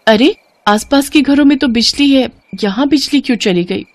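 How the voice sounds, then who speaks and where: A woman voicing a poor, humble, determined young orphan woman in an animated moral story, earnest and pleading. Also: high voice, normal speed, no room sound, pitched above the performer's own voice, natural voice. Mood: worried.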